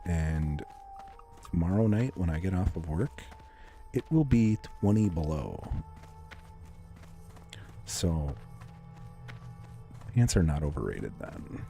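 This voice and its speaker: A young man livestreaming a game, chatting calmly and casually with his viewers and thanking them for comments. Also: deep voice, slow pace, creaky, pauses often, faint music behind. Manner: laid-back, calm, chatty with viewers. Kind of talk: casual live-stream chat reading comments.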